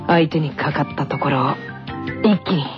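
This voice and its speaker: A woman playing a calm, gentle teenage boy tennis player coaching a junior in a radio drama. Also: low-pitched, normal speed, lightly acted. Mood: gentle, instructive. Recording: dull lo-fi radio, loud music.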